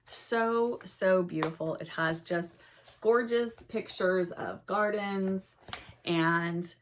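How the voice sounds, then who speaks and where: A middle-aged woman chatting casually about her new books, her voice a little raspy from a cold. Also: mid-range voice, takes it slowly, slight background noise. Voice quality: soft-spoken, reads blurbs aloud. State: recovering from a cold, slightly raspy. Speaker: book vlogger. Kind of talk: casual book haul chat.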